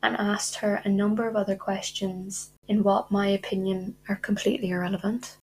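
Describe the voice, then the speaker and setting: A young woman hosts a true-crime podcast, reading her script at a middling pitch. Her voice has a husky, slightly breathy edge. She breaks off now and then with indignant remarks of her own. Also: darkish tone, rough recording. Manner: indignant asides between read facts. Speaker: podcast host.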